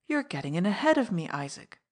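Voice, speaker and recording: Woman voicing a soft-spoken masked gentleman thief, cool, polite, mocking. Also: on the low side, normal speed, natural voice.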